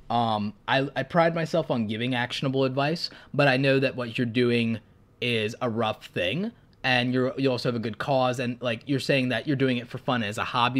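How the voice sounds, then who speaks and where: A man's voice: mid-range voice, fairly bright tone. A man hosting a live stream, sick and losing his voice, giving frank advice while thinking aloud with lots of ums. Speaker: YouTube creator hosting live Q&A and channel reviews.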